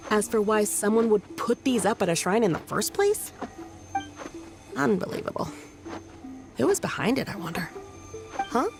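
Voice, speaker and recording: Earnest young shrine maiden, expressive and lilting. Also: female voice, medium pitch, moderate pace, vocal fry, long pauses, over music. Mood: earnest, puzzled. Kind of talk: video game voice acting.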